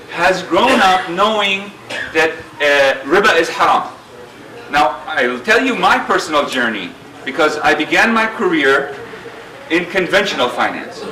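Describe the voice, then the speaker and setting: A middle-aged man with a booming voice giving an earnest speech at a community dinner, telling stories with many fillers. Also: sharp tone. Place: large banquet hall. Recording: roomy hall sound, distant mic.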